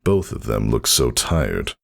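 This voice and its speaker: A man's voice: very deep voice, very animated, a little slow, gravelly. A man narrating a story as a scripted dramatic reading.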